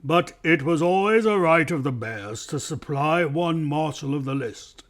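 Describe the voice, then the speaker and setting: A man's voice: a little high, animated, unhurried. A man voicing an old, good-natured bear with a thick, sleepy voice, earnest and polite, in a storybook reading.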